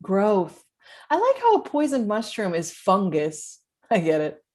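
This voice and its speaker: A woman acting as a flippant, self-serving young woman in a comedy table read, with breezy deadpan asides. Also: mid-range voice, a little slow.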